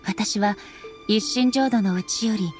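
A woman playing a solemn, dignified female ruler in an anime game, speaking formally and with measured politeness. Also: mid-range voice, over music, natural voice. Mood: firm, formal. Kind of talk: anime game dialogue acting.

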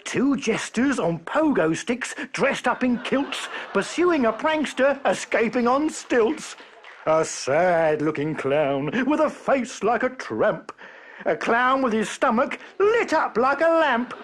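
A man's voice: very high voice, sing-song, slow, fairly bright tone. A man reading a playful children's poem in a bouncy, sing-song way with chuckles. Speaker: poem reader.

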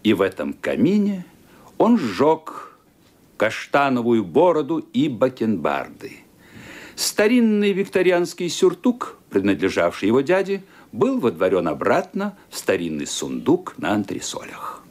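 A man's voice: mid-range voice, huge pitch swings, a little slow, a little mellow. A man reading the narration of a radio play with theatrical expression.

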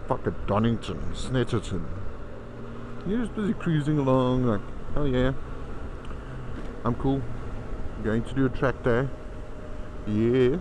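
A man's voice: medium pitch, animated, unhurried, long pauses. A man riding a motorcycle outdoors, vlogging in a chatty, profane, self-mocking way through a helmet camera. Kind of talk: casual rambling travel vlog. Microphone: helmet-mounted camera. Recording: loud wind and engine noise.

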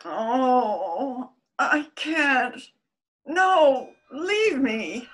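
A woman's voice: high voice, sing-song, drawn-out words, music in the background, exaggerated voice, rough recording. A woman playing a drugged, faltering, frightened captive in a radio mystery play. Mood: dazed, frightened. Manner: groggy, faltering.

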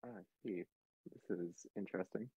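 A man's voice: sits low, fairly quick, mellow, slightly rough, muffled recording. A man chatting casually as a player in a tabletop game, hesitant and often repeating his phrases. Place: online group voice call, dry.

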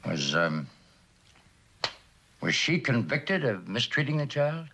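A man playing a gruff, skeptical police superior in a mid-century TV drama, terse and authoritative. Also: mid-range voice, very animated, takes it slowly, sharp tone, full character voice. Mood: skeptical, attentive.

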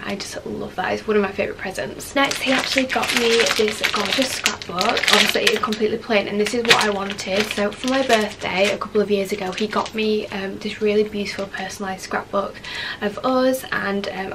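A woman in her twenties from Leeds with a Yorkshire accent, chatting excitedly about her presents in a bedroom, a little sniffly from a cold and full of "um" and "like". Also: medium pitch, heavy background noise. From Leeds, West Yorkshire, England. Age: 20s. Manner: gushing, enthusiastic, many fillers like "um" and "like". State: has a cold, a bit sniffly. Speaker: lifestyle vlogger. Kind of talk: casual chatty haul video. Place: bedroom, indoors.

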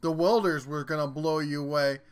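A man's voice: on the high side, steady tone, normal speed, clear, resonant tone. A man hosting a tool review channel, giving a casual, folksy and jokey rundown of a new product as a voice-over.

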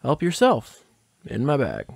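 A man's voice: medium pitch, huge pitch swings, unhurried, vocal fry, breathy edge, heavily acted. A man playing a plainspoken park ranger in an audio drama, matter-of-fact with dry humour. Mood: wry.

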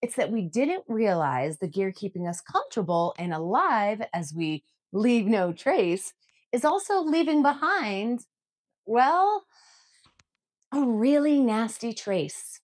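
Woman, 30s, lively pitch, earnest, emphatic, scripted vlog to camera, home room. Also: slow. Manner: earnest, emphatic, gestures with both hands. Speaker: outdoor vlogger. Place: home room with bookshelves, indoors.

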